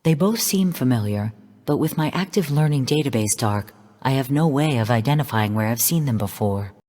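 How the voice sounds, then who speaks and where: An actress playing a composed, coolly commanding artificial intelligence who guides missions in a video game, speaking in formal, measured briefings. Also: female voice, extremely low pitch, lively intonation, a little mellow, big-room sound. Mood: composed, instructive.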